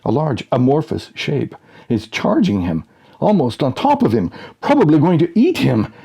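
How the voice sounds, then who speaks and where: A man reading a humorous story aloud as scripted narration, deadpan and suspenseful, with dramatic pauses. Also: medium pitch, vocal fry, husky. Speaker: audiobook reader.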